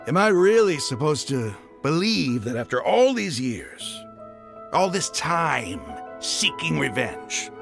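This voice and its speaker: A man's voice: a little high, gravelly, full character voice. A man playing an embittered desert mercenary leader in a video game, speaking emphatically and with passion. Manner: emphatic, impassioned. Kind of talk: dramatic video game voice acting. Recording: background music under the voice.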